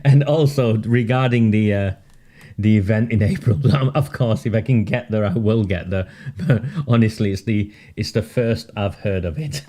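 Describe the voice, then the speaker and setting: Low, mellow-voiced man ranting sardonically. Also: moderate pace. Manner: sardonic, laughs often, emphatic repetition. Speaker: political commentary host. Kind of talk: casual live-stream monologue.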